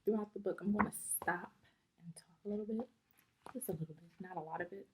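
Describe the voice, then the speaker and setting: A woman teacher reading a picture book aloud to young students in a deliberate, instructive way, pausing to explain and ask questions. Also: medium pitch, background noise.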